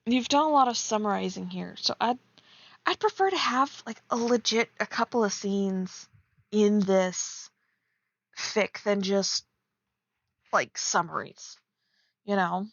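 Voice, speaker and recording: A woman's voice: mid-range voice, takes it slowly, gravelly, long pauses, dry recording, highs cut off. A woman chatting casually on a stream as she reads aloud and critiques, teasing and blunt with sarcastic asides. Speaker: streamer reading and critiquing fan fiction. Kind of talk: casual live commentary mixed with reading aloud.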